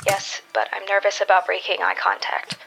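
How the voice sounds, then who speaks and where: A woman's voice: medium pitch, very animated, normal speed, gravelly, noisy background. A woman playing a guarded, wry superhero in a naturalistic audio drama, speaking tersely and dryly. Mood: tense, commanding. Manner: terse, dry delivery. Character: guarded, wry, wounded female superhero with dangerous gaze.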